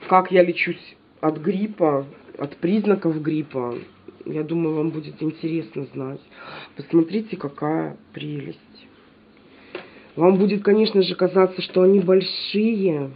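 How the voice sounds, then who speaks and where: A woman's voice: sits low, measured pace, highs cut off, slight background noise. A woman with a stuffy nose from a cold, chatting casually to her viewers as she shows off a shopping haul at home. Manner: chatty, animated, addresses viewers directly.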